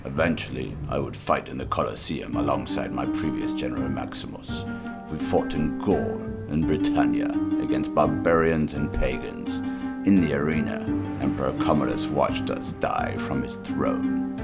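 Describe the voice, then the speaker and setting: A man voicing a solemn, battle-worn ancient Greek soldier in gravelly dramatic narration. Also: very deep voice, moderate pace, loud music behind the voice. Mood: solemn, heroic.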